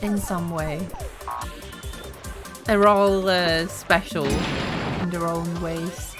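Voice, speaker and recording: A woman's voice: fairly low pitch, fairly even intonation. A woman, chatting casually and rambling while playing a game on a stream. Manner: chatty, rambling, quick to laugh. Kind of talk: casual gaming stream chat. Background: game music.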